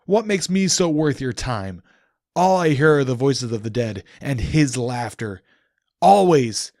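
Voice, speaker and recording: A man's voice: medium pitch, expressive, measured pace, a little mellow, no room sound, clean studio sound, voice pushed up. A man voicing a bitter, tormented immortal man in an audiobook, roaring with anguish and confrontation. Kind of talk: dramatic audiobook character acting.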